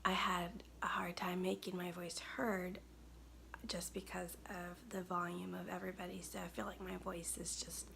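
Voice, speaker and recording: A woman in her thirties with a soft, weak, breathy voice, chatting casually through a makeup tutorial at home to a close webcam. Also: lowish voice, level tone, normal speed, slight background noise. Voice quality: gravelly, breathy. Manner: soft-spoken, chatty, many ums. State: weak, tired voice. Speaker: makeup brand owner. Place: home studio, indoors.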